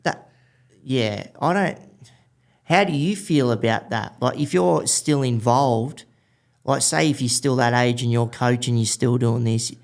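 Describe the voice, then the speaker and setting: A man with an Australian accent and a gravelly, medium-pitched voice hosts a casual conversation. His delivery is blokey and informal, full of slang as he puts questions to his guest. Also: unhurried. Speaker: podcast host. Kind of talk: casual chat, interviewing.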